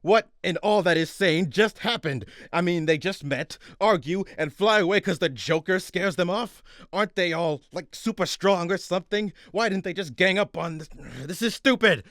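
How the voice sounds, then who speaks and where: A man's voice: high voice, moderate pace, a bit bright, dry recording, clean studio sound. A man playing a pompous, fed-up announcer of a parody serial radio show who is short on patience. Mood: ranting. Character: exasperated, pompous radio show announcer losing his temper.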